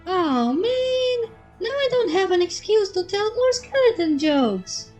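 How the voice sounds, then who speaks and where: Very high, hyper party pony. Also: female voice, slow pace, music in the background, full character voice. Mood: disappointed. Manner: excitable, squealing. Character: hyper, giggly, mischievous young female party pony.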